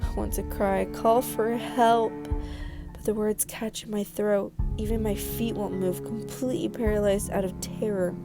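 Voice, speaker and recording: A woman's voice: mid-range voice, level tone, a little slow, music in the background, close to an everyday voice. A woman voicing a frightened, superstitious real estate agent in a horror visual novel, with dramatic, anxious delivery. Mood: horrified.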